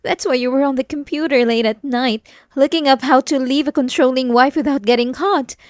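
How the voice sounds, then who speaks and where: Woman acting a high-voiced, unhinged possessive wife. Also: fairly quick, dry recording, clean studio sound, natural voice. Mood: accusing, hurt. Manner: intense, swinging between pleading and menacing. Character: unhinged possessive, obsessive young wife confronting her husband. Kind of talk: dramatic ASMR roleplay monologue.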